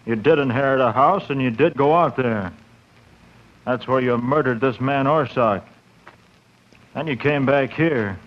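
An actor playing a firm, sceptical homicide detective in a 1940s radio drama. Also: male voice, medium pitch, natural voice. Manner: firm, dismissive. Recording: muffled, faintly noisy 1940s radio recording.

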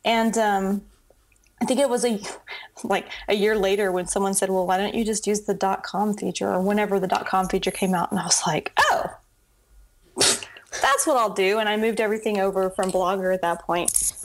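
A woman's voice: medium pitch, moderate pace. A middle-aged woman, a web entrepreneur guest, chatting casually in an interview and acting out her own past thoughts as she talks. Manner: chatty, animated storytelling with acted quotes.

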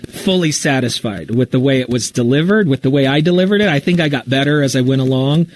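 Male writer in a relaxed Q&A, medium pitch, talks candidly and works out his answers aloud. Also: fast talker, a little hiss or hum, rough recording. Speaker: writer and storyteller of a fiction podcast, being interviewed. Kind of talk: casual live interview answers.

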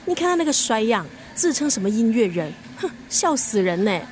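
An actress playing a scornful, sharp-tongued family friend in a radio drama, sarcastic and blunt, with a Singaporean accent. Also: female voice, on the high side, very animated, brisk, a little hiss or hum. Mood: sarcastic, indignant. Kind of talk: Mandarin radio drama acting.

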